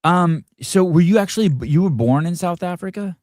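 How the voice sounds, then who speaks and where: A man's voice: high-pitched, fairly quick, darkish tone, dry recording, clean studio sound. A man whose first language is Armenian, hosting a casual interview and asking curious questions with repeated stammered words. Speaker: podcast host.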